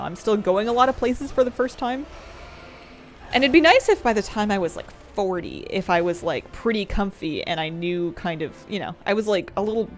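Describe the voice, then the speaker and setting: Rambling woman in her thirties, lively. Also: medium pitch. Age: 30s. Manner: rambling, halting with restarts and soft laughs. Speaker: streamer. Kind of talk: casual chat while gaming.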